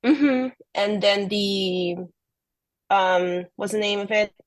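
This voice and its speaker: A woman in her thirties from Peru, speaking English as an as a podcast guest, soft-spoken and hesitant with frequent ums and light laughs. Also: medium pitch, slow, no echo. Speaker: guest athlete. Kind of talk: casual interview.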